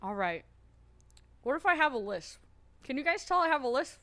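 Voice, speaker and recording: A woman streamer chatting loudly and nervously to her viewers, thinking out loud and swearing freely. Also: sits high, very animated, normal speed. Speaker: streamer playing a roleplay character. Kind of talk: casual chat to stream viewers.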